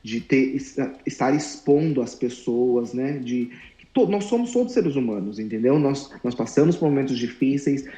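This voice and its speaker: Guy in his 30s talking earnestly, mellow voice, stops and restarts a lot, roomy echo. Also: medium pitch, expressive. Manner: earnest, reflective, halting restarts. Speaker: guest, former client. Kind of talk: candid interview talk.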